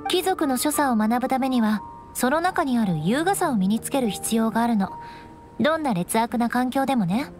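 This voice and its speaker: A woman's voice: a little high, animated, moderate pace, a little mellow, faint music behind, lightly acted. A woman playing a proud, elegant young aristocratic knight in an anime-style game, composed and instructive, strict but caring.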